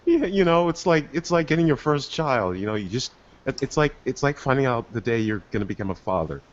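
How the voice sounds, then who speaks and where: A man's voice: medium pitch, animated, a little fast. A young man hosting a casual live podcast, giggly and hesitant with lots of fillers. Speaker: podcast host. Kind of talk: casual live-stream chat. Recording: dull lo-fi stream audio.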